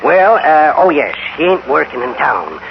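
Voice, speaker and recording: A man's voice: on the high side, big pitch swings, unhurried, vocal fry, full character voice. A man acting a grumbling old cowboy sidekick with a folksy rural Western American accent in a radio western. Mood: reluctant, grumbling. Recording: noisy, muffled old radio broadcast.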